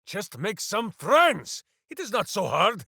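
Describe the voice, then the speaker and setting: A man's voice: high-pitched, sing-song, slow pace, creaky, bright, forceful tone, husky, no echo, studio quality, full character voice. A man voicing a big-hearted, simple-minded heroic warrior in a video game, bombastic and earnest, speaking of himself in the third person. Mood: indignant. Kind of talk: video game character voice acting.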